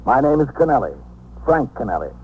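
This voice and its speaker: A man's voice: medium pitch, sing-song, a little fast, natural voice. A man with an American accent playing a businesslike New York police captain in a 1950s radio drama, clipped, authoritative and matter-of-fact. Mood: measured, official. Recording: noisy, hissy 1950s radio recording.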